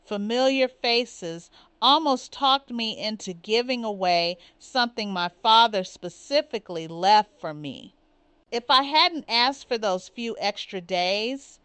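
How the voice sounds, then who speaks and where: A woman reading a scripted first-person confessional story in a measured, earnest way. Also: a little high, fairly bright tone, smooth, no echo. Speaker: story narrator.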